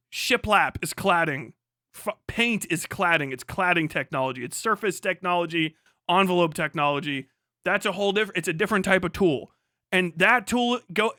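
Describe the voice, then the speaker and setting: A man's voice: high-pitched, pushed and bright, no room sound, studio quality. A man on a casual, emphatic livestream rant, speaking off the cuff, repeating himself for emphasis and swearing freely. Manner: emphatic, repetitive, profane.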